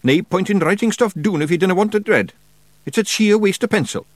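A man's voice: high voice, animated, brisk, no echo, clean studio sound, pitched above the performer's own voice. A man doing a cocky Scottish wee blue fairy man. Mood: scornful. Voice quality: broad dialect, scornful and blunt.

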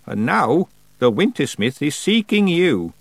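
A man voicing a stern, solemn, very old woman witch who speaks slowly and severely, lecturing. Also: a little high, big pitch swings, creaky, no room sound, voice pushed up, exaggerated voice. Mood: solemn, probing.

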